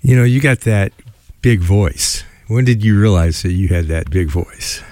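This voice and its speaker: A man hosting a radio show, chatting casually with a guest and rambling through halting sentences full of fillers. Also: fairly low pitch, a little mellow, dry recording, clean studio sound. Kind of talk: casual interview chat.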